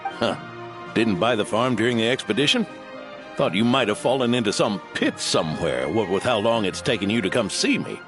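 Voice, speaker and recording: An actor playing a shrewd, teasing older knightly mentor in a video game, with wry, probing, folksy speech. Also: male voice, lowish voice, lively intonation, brisk, close to an everyday voice. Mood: gruff teasing. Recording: music under the voice.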